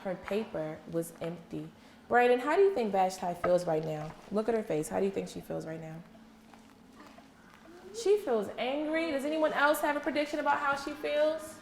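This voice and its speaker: High female voice, early childhood teacher, encouraging read-aloud delivery, simple repeated questions, roomy echo, faint noise. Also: expressive, normal speed. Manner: encouraging, praising, instructive. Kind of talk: interactive classroom read-aloud to young children. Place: indoor classroom with young children. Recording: echoey classroom, faint background noise.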